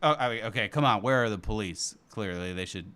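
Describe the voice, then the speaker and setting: A man voicing an easygoing digital cartoon panda who is quick to rant, with halting, exclamatory delivery. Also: on the low side, expressive, sharp tone, smooth, pitched below the performer's own voice. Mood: exasperated. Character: excitable digital cartoon panda, easygoing until ranting with rage. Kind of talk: animated comedy table read.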